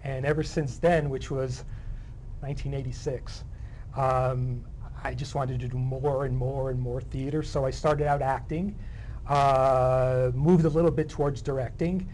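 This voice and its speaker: A man's voice: medium pitch, steady tone, a little slow, a little mellow. Understated middle-aged man, noisy room. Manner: understated, modest. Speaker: interview guest, playwright. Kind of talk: casual interview chat.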